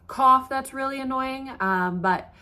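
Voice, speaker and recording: A woman's voice: high-pitched, measured pace. Young woman vlogger, stuffy-nosed, easygoing chatter full of fillers. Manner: chatty, relaxed, many ums and likes. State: congested, stuffy nose. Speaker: pregnancy vlogger. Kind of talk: casual talk to camera.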